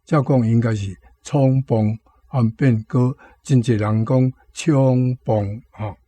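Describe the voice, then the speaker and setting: Male language commentator, Taiwanese accent, relaxed dark tone, unhurried explaining, dry studio sound. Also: medium pitch, no echo. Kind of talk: informal pronunciation lesson.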